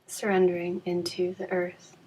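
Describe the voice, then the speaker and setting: Calm lady doing guided yoga nidra, lowish voice, really flat and even, slow pauses, soothing. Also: a little hiss or hum, poor audio. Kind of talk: guided relaxation meditation.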